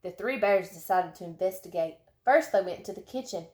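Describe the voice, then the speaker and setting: A woman reading a children's storybook as narrator, expressively and with dramatic, drawn-out emphasis. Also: medium pitch, darkish tone, echoey room.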